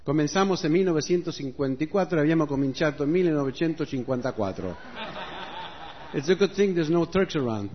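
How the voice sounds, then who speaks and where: A man's voice: on the high side, expressive, fast. A man from Argentina introducing his music to a concert audience from an outdoor stage, switching languages and joking in a loose, conversational way. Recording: muffled live recording with audience.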